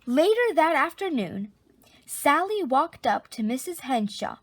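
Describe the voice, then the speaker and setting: A woman reading a children's picture book aloud, expressively, with drawn-out dramatic emphasis. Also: sits high, very animated, dry recording. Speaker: storybook reader.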